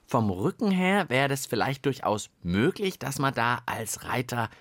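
A man's voice: medium pitch, very animated, unhurried, a bit bright, no echo. A man co-hosting a children's science podcast, chatting informally and asking questions in an inquisitive way. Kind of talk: casual chatty podcast talk.

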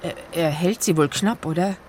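An actress playing a chatty, sympathetic taxi driver with a southern German accent and a colloquial manner in a radio sketch. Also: female voice, lowish voice, moderate pace, darkish tone, close to an everyday voice. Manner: colloquial, reacting with interest. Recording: car and traffic noise behind. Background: vehicle ride ambience.

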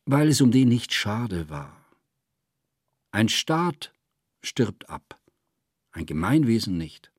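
Terse Soviet insider, lively intonation. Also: male voice, on the low side, takes it slowly, pauses often, slightly rough, no room sound, clean studio sound, heavily acted. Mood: terse, assured. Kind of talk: radio play interview dialogue.

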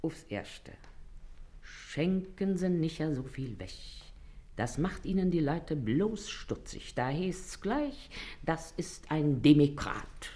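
An actress playing a crafty, middle-aged washerwoman with a sharp tongue and a folksy, emphatic manner in a theatrical dialect comedy. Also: low-pitched, very animated, slow, exaggerated voice. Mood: confidential, warning. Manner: folksy, emphatic, wheedling with betters.

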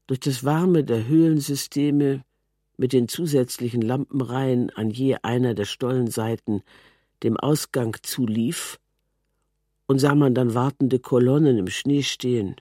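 A man reading literary prose as a measured narrator. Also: medium pitch, fairly even intonation, warm tone, no room sound, studio quality. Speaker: reader of a literary text.

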